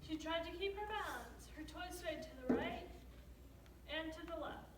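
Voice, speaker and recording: A woman's voice: very high voice, moderate pace. A female narrator reading scripted lines of a story aloud in a readers theater. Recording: echoey room, distant mic, loud background noise.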